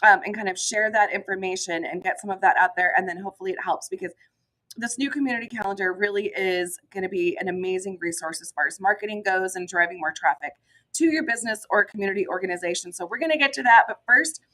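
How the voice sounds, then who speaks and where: A woman talks through community updates in a loose, chatty way, with ums and you-knows scattered through. Her voice is hoarse and a little rough from a head cold. It sits at a middle pitch for a woman. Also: fast talker, bright, forceful tone, rough recording. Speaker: chamber of commerce director hosting a morning show. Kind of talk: casual solo update to camera.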